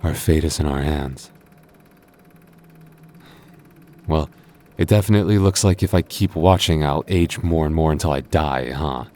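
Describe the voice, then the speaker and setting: A man playing a soft-spoken goth boyfriend. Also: a bass voice, moderate pace. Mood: tender, soft. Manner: soft-spoken, stammering when flustered. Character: gentle, nervous young goth boyfriend. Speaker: ASMR voice actor playing a boyfriend. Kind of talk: boyfriend ASMR roleplay.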